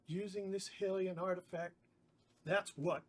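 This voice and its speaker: A man voicing a crude, grumpy male schemer in a put-on Cockney accent, gruff and snappy, at a script table read. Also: sits high, steady tone, measured pace. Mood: scheming.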